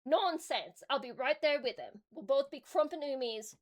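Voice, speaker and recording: A woman voicing a loud, bossy male orc warboss in a put-on British accent, barking orders. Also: lively intonation, moderate pace. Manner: booming, blustering commands.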